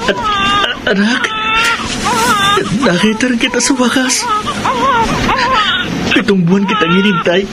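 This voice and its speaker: A man's voice: sits high, huge pitch swings, a little slow, pauses often, over a noisy crowd, heavy background noise, full character voice. An actor playing a devoted, playful young father in a Filipino radio drama. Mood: excited, doting. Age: young adult.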